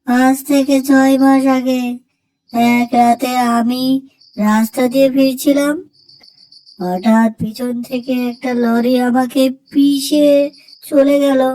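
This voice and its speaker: A woman's voice: high-pitched, dark tone, low-quality recording. A woman voicing the menacing, accusing ghost of a road accident victim in a horror cartoon.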